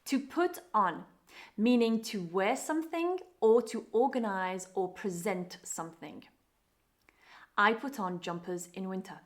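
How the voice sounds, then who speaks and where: A woman with a British English accent leads a scripted English lesson. Her delivery is clear and upbeat, aimed at learners. Her voice sits at an ordinary middle pitch. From Britain. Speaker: English teacher.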